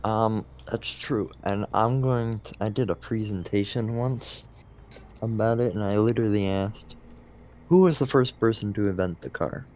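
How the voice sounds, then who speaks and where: A young man co-hosting a podcast in casual chat, laid-back and quick to laugh. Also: fairly low pitch, a little mellow, dull audio. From Wales. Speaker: podcast co-host.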